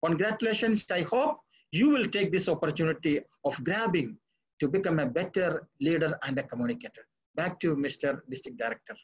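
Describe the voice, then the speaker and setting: Husky guy, encouraging, dull muffled call sound. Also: on the high side, expressive, a little fast. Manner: encouraging, exhorting. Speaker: past district director. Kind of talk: motivational speech at online meeting. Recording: muffled lo-fi video call audio.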